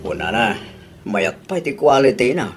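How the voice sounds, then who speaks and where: A man's voice: medium pitch, expressive, moderate pace, husky. A man voicing a thrifty husband in a radio drama, chuckling and defensive about his bargains.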